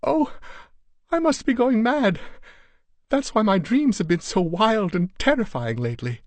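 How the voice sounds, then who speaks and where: A man's voice: medium pitch, steady tone, slow pace, darkish tone, slightly rough, no echo, voice pushed up. A man voicing a hysterical young wife, pleading shrilly and frantically. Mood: desperate.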